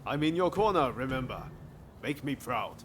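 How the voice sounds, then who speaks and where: A man's voice: medium pitch, expressive, moderate pace, natural voice. A man playing a breezy, encouraging warrior comrade in a video game cutscene, speaking casually. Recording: clear background ambient noise.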